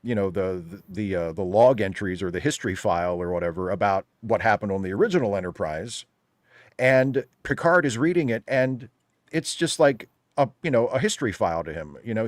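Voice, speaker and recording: A man's voice: lowish voice, dry recording, clean studio sound. A man chatting casually and opinionatedly as a panel guest, emphatic and wry, sometimes slipping into mock quotes.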